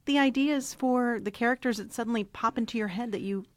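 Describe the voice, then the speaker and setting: A woman's voice: a little high, brisk. A slightly congested woman hosting a podcast in a studio, upbeat and chatty with lots of fillers. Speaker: podcast host.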